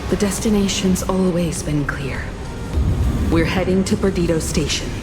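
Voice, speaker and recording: A woman voicing a decisive, urgent crew leader in a video game, with a brisk, commanding manner. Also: on the low side, lightly acted. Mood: resolute. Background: music.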